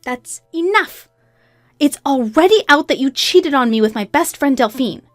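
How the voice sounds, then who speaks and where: Woman acting a scornful, high-voiced betrayed wife. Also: expressive, normal speed, dry recording, studio quality. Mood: furious. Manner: emphatic, cutting, accusing. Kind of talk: acted dialogue in a narrated story.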